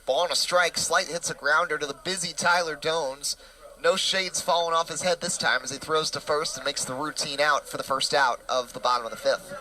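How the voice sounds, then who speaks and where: A young man calls baseball play-by-play in a crisp, ringing voice that sits a little high. He moves briskly through each pitch and packs the call with stats. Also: fairly even intonation, pushed and bright, slight background noise, low-quality recording. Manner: brisk, detail-packed game calling with stats. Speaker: play-by-play announcer. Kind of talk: college baseball play-by-play commentary.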